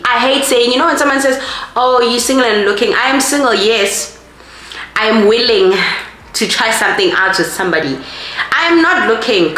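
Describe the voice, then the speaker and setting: A woman's voice: medium pitch, echoey room, rough recording. A woman in her mid twenties with a South African accent, chatting casually and rambling while doing her makeup for a vlog. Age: 20s. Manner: rambling, animated, mixes in local words. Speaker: vlogger. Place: home room, doing makeup.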